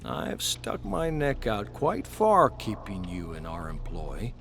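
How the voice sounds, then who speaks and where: A man's voice: lowish voice, wildly swooping pitch, slow pace, vocal fry, a bit bright, exaggerated voice. Man playing a pompous, condescending factory boss. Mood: patronizing. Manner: pompous, patronizing. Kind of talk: dramatized game lore narration. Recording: music under the voice.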